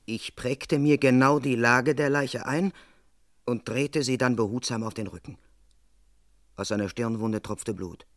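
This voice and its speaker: An actor playing a dutiful London police constable in a crime radio play, speaking in a measured, formal, precise and officious way. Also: male voice, medium pitch, steady tone, no echo, clean studio sound, lightly acted. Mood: matter-of-fact, grave. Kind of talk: German crime radio play, first-person narration and dialogue.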